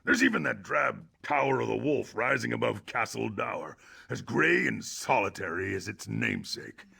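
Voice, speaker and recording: A man playing a boozy, irreverent Nord in a video game, talking in a wry, jovial, garrulous way with a gravelly, husky voice. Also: medium pitch, sing-song, normal speed, bright, forceful tone, full character voice. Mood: dryly mocking. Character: boozy, irreverent Nord man who solves everything with ale. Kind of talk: video game character dialogue. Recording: music behind the voice.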